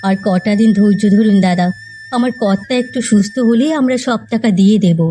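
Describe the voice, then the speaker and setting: A woman's voice: medium pitch, fairly quick, warm tone, faint music behind, lightly acted. A woman playing a proud rich housewife fallen on hard times in a melodramatic cartoon tale, plaintive and dramatic. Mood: distressed.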